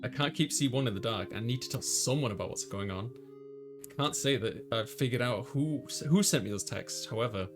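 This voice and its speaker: A man's voice: medium pitch, fairly quick. A man reading a visual novel's narration and dialogue aloud in a dramatized way as he plays. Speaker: let's player voicing a visual novel. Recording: music playing behind the voice. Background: clear background music.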